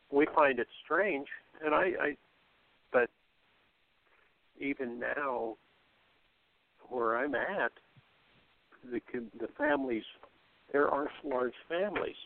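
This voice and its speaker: A middle-aged man talking casually and reflectively in a group discussion, in a halting way. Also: medium pitch, animated, creaky, no echo. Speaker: radio play cast member. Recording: thin phone-like call audio.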